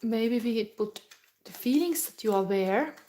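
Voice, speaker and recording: A woman in her forties, giving a live tarot reading in a halting, musing way with soft whispered asides. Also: on the high side, lively intonation. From Finland. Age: 40s. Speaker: tarot reader.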